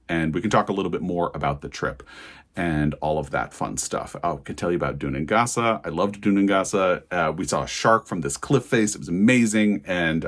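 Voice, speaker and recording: A man talking casually as a book vlogger, in a conversational, digressive way, often correcting himself as he goes. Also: low-pitched. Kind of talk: casual chatty vlog to camera.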